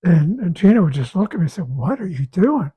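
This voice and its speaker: A man with a raspy, hoarse voice talking casually about his health, halting and repeating words as he goes. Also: sits high, big pitch swings, dark tone. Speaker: guest sharing a health update. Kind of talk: casual conversation.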